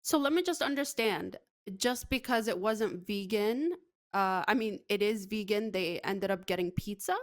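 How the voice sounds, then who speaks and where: A woman's voice: mid-range voice, normal speed, dry recording, studio quality. A woman giving emphatic, opinionated commentary in casual talk show chat. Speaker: technical producer and on-air commentator.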